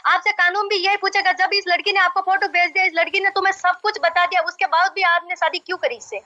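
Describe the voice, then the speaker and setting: A woman's voice: very high voice, steady tone, fast, pushed and bright. A young woman arguing insistently and repeating herself on a recorded phone call. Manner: insistent, repetitive, argumentative. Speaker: caller in a marriage dispute. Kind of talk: heated phone conversation.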